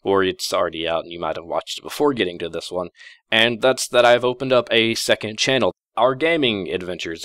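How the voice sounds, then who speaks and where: A man with a low, deep voice talks casually to his audience as the host of a channel. His delivery is unscripted and conversational, wandering a little as he goes. The sound is dry and close, with very little room around it. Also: fairly bright tone, no echo. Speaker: host of a story-reading channel. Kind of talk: casual unscripted commentary to listeners.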